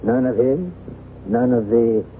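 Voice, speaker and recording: A courtly, cautious gentleman friend in an old radio drama. He speaks slowly and politely, with careful hesitation. The recording is thin, with a clear hiss. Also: mid-range voice, expressive, phone-quality sound. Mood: uneasy, probing. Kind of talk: 1970s radio drama acting.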